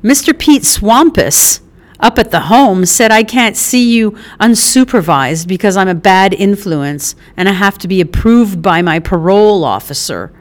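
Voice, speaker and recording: A woman playing a brash, loud mother fresh out of prison. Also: mid-range voice, lively intonation, unhurried, close to an everyday voice. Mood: bossy. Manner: loud, bossy and teasing, with motherly endearments. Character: brash, affectionate middle-aged mother just out of prison.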